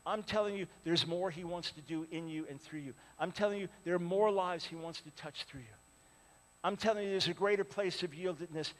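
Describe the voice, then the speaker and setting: An older male preacher in his sixties, his voice pitched high for a man. He swings from loud, ringing appeals to hushed pleading. The sound rings on in a large church hall. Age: 60s. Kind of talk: sermon.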